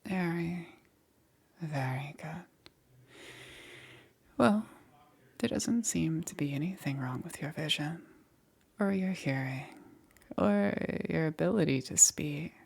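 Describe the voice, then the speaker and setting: Woman as a breathy, slow-talking gentle witch. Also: low-pitched, animated, heavily acted. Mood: gentle, attentive. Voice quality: breathy, often whispering. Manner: unhurried, soothing, checking in with short murmurs. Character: gentle woman witch caring for an injured stranger. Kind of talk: soft-spoken ASMR roleplay.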